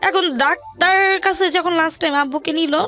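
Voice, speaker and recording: High-pitched young Bangladeshi woman. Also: moderate pace, pushed and bright. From rural Bangladesh. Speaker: guest telling her life story. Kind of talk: personal hardship story in an interview.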